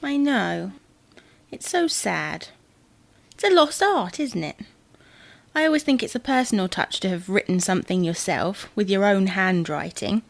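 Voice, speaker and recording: A woman's voice: medium pitch, expressive, measured pace, creaky, no echo. A woman playing a fretful, stubborn, old-fashioned wife in an audio drama. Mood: wistful. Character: fretful, stubborn technophobe wife, wistful and suspicious.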